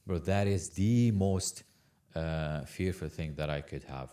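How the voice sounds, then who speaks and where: A man's voice: extremely low pitch, a little slow, warm tone. A man from Lebanon, a guest on a casual podcast, talking earnestly, stumbling over repeated words and saying "bro" a lot.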